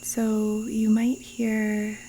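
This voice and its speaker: A woman guiding a yoga nidra meditation in a hushed, breathy, soothing voice with long pauses, recorded outdoors in the jungle. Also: a little high, completely flat delivery, low-quality recording. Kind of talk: guided meditation. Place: outdoors in a Costa Rican jungle. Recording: faint rainforest insect and cricket noise. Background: insects and rainforest wildlife sounds.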